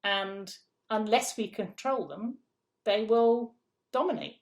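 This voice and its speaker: A woman's voice: mid-range voice, takes it slowly. Older woman, forthright and emphatic. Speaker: guest. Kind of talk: interview answers.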